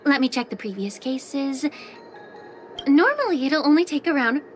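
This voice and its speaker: An actress playing a calm, long-lived alchemist official who speaks with dry, teasing sarcasm in a video game. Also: female voice, sits high, moderate pace, faint music behind. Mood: wry. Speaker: head alchemist.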